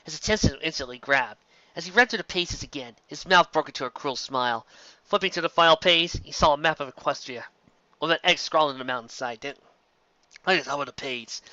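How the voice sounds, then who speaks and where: A man reading fan fiction aloud as an amateur narrator, expressive and occasionally stumbling over words. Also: fairly high pitch, brisk, no echo, dull audio.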